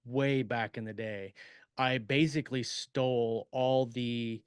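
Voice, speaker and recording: A man co-hosting a podcast, chatting casually and haltingly as he thinks aloud about writing. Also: mid-range voice, steady tone, smooth, dry recording, studio quality. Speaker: podcast co-host, science fiction author.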